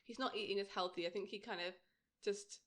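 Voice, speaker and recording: A woman co-host speaks at a medium pitch in an informal podcast discussion. Her delivery is that of casual chat about a TV series. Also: fairly even intonation, a little fast.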